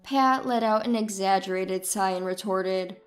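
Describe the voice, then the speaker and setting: A woman's voice: medium pitch, measured pace, faint music behind. A woman reading a scripted first-person story as its narrator.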